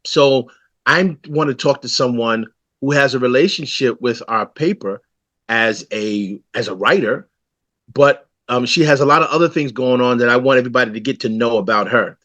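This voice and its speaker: A man's voice: mid-range voice, moderate pace, fairly bright tone, dry recording. A man from the Bronx who publishes local newspapers, hosting a community interview with friendly, loose, rambling questions. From the Bronx, New York City.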